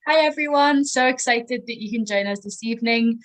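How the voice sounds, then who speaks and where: A woman's voice: on the high side, a little fast. A young woman with a toned-down Liverpool accent, introducing herself in an upbeat, friendly way on a webinar. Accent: softened Liverpool. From Liverpool, England. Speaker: study-abroad advisor, webinar presenter.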